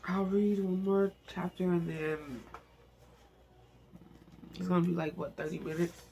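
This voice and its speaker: A man reading a novel aloud in an informal, emotional, soft storytelling manner, now and then speaking in asides. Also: sits high, fairly even intonation, unhurried, creaky, slight background noise. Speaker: amateur book reader and channel host.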